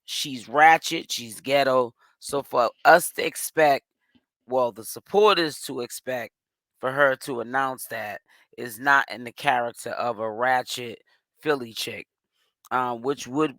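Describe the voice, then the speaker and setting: A hoarse-voiced man talks as a commentary host, casually running through a gossip update. His pitch is middling for a man. The sound is dry and close, with no room around it. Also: bright, forceful tone, no room sound.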